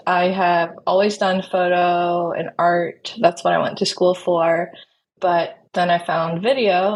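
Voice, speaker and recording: A woman's voice: lowish voice, slight background noise, low-quality recording. A woman in her thirties from Sweden, a native Swedish speaker, telling her own story as a guest in a casual interview. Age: 30s. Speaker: podcast guest, wedding videographer.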